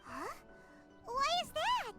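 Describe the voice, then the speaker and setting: A woman voicing a tiny, excitable childlike fairy companion in a video game, with a squeaky, cute delivery. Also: slow, heavily acted. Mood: worried. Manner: cute, refers to self in third person. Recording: background music over game dialogue.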